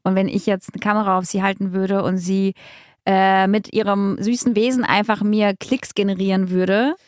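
A young woman whose first language is Russian, talking on a podcast in a reflective, measured way and asking questions. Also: mid-range voice, fairly even intonation, no room sound, studio quality. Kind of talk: casual podcast chat.

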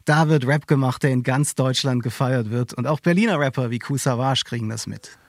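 A man's voice: medium pitch, brisk, dry recording, clean studio sound. A man in his forties hosting a podcast, chatting in an upbeat, conversational way. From Germany. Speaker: podcast host. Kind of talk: casual podcast chat.

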